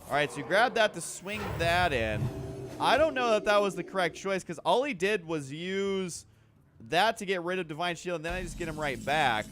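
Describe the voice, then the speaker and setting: A man's voice: sits high, lively intonation, normal speed, background noise. A man hosting a gaming video, talking casually through his moves as he thinks aloud in a rambling way. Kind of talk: casual card game commentary, thinking aloud.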